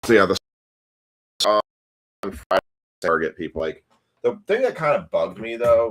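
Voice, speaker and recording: A man's voice: deep voice, a bit bright, slight background noise. A man casually chatting on a live stream while opening trading card packs, rambling to his viewers.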